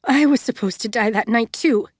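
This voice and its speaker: A young woman torn between rage and grief, as a woman reads her, her voice cracking high and loud. She shrieks and sobs out her accusations. The sound is close and dry. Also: normal speed, no room sound, natural voice. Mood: accusing. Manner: shrieking through tears. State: crying. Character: young woman, anguished and furious.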